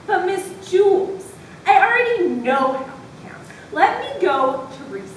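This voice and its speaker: A woman playing a stubborn, literal-minded schoolboy in an amateur readers' theatre performance, pleading and protesting. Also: animated. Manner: protesting, counts aloud slowly. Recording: distant, very echoey room, noisy with rumble.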